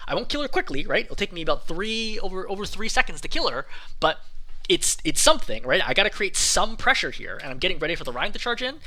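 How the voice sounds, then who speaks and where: A man breaks down his own gameplay like a coach, sounding a bit hoarse. He talks quickly with a bright, forceful edge, and his pitch jumps around as he explains each move. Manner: quick, animated explaining over footage. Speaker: game coach. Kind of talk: gameplay commentary.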